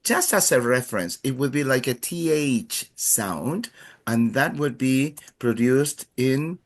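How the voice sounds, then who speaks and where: Chilean guy teaching spanish, slow and easygoing, lots of uhs, cheering students on. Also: mid-range voice, lively intonation. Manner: encouraging, playful, many filler sounds. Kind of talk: language class instruction.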